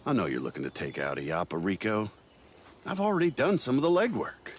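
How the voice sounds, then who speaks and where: Game role: breezy older operative, wheedling schemer, swooping intonation on a fairly low voice. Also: male voice, wildly swooping pitch, fairly quick, slight background noise. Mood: persuasive. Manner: folksy, wheedling, glib. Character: sly, breezy older male agency operative, smooth-talking schemer. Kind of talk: video game cutscene acting.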